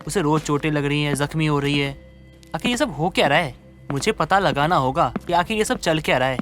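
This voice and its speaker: A man's voice: a little high, lively intonation, brisk, a bit bright, faint music behind. A man voicing a caring, worried young husband in a moral story, questioning with concern. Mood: suspicious. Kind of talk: Hindi moral story voice acting.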